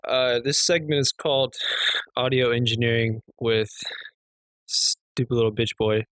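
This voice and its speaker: Quiet, slightly hoarse young man. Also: mid-range voice, steady tone, a little slow, no room sound, poor audio. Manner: rambling, joking, swears freely. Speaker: podcast co-host. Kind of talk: casual chat.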